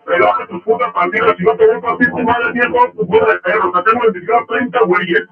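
A man's voice: high voice, fast talker, gravelly. A Mexican man making a threatening, profane phone call in a domineering, aggressive way, his voice run through a voice changer. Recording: muffled, echoey phone call recording.

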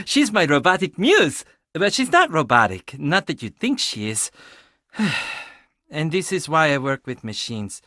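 Animated geeky young tech tinkerer. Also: male voice, fairly high pitch, no echo, clean studio sound, full character voice. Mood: excited, awkward. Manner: animated, self-deprecating, rambling. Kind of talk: video game character dialogue.

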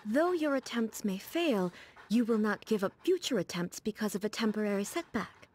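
An actress voicing a serene, dignified female warrior ruler in a video game, speaking formally and with measured composure. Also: medium pitch, lively intonation, darkish tone, slight background noise, lightly acted. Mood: earnest, thoughtful. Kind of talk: scripted video game character dialogue.

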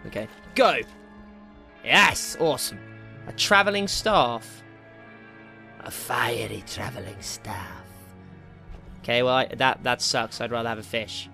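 A man's voice: medium pitch, lively intonation, measured pace, creaky, long pauses, pushed and bright, soft background music. A young British man giving casual, rambling, jokey gameplay commentary, easily distracted as he goes. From Britain. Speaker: gaming video host.

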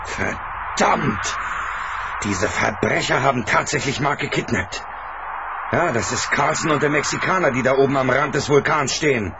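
A man's voice: medium pitch, moderate pace, lightly acted. A man playing a determined, protective racing driver and father in a children's radio play. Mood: alarmed, angry. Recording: dull old cassette, noisy background.

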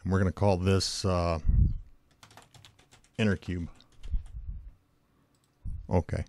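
A hoarse man with a cold, casually walking through a software tutorial with lots of fillers and self-corrections. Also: sits low, little pitch movement, slow pace. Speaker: tutorial host. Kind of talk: screen-recorded software tutorial.

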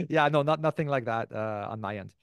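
A man's voice: mid-range voice, animated, moderate pace, clear, resonant tone, dry recording. A middle-aged Canadian man talking casually as an interview guest in a rambling way, into a close desk microphone in a small room. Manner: rambling, peppered with "like" and "you know". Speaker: podcast host as interview guest. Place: small indoor room, video call. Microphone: desk microphone close in front.

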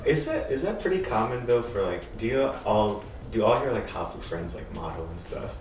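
A man's voice: lowish voice, very animated, brisk, a little mellow, distant mic. Echoey young man hosting a casual chat. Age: 20s. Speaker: host. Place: indoor bar with brick walls. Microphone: condenser mic on table, a little distant. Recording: slightly noisy, echoey room, off mic.

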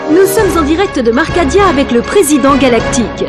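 A woman's voice: sits high, animated, fairly quick, loud music behind the voice. A woman voicing a female TV news anchor in a dubbed video game. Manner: crisp news-reading delivery.

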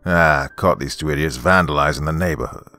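Man acting a deep, booming, gruff burly father. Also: brisk, sharp tone, heavily acted. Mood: chuckling. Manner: stern, gruff, looming. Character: burly, booming steelworker father scolding kids. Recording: music behind the voice.

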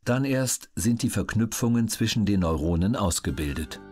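A man reading scripted documentary audiobook narration in a measured, factual manner. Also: lowish voice, animated, music in the background.

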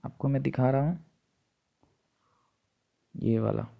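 A man's voice: mid-range voice, steady tone, slow, vocal fry, narrow, tinny audio. A man narrating an informal step-by-step tutorial, instructing and repeating each step, recorded on a phone. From India. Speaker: tutorial presenter.

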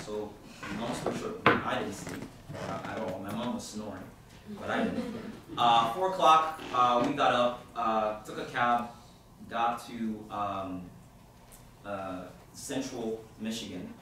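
A man from Haiti, a youth minister, praying and then telling a story to a group in a halting, informal way with many fillers. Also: fairly low pitch, pushed and bright. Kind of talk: opening prayer and talk to a group. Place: hall at a university centre. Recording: echoey room from far off, background noise.

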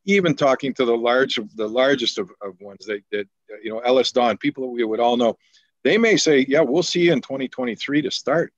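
A man's voice: medium pitch, brisk, dry recording. Deputy mayor type, Canadian man, earnest and rambling, dry dull video-call sound. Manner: rambling, repeats words, earnest. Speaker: deputy mayor at a council meeting. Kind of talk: council meeting remarks. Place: home office on a video call.

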